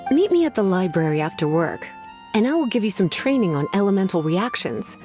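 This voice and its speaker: A woman's voice: mid-range voice, big pitch swings, brisk, a little mellow, soft background music, close to an everyday voice. An actress playing a languid, teasing witch librarian with a sultry, lazily flirtatious manner, in a video game. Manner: sultry, playful and lazily flirtatious, calls people cutie.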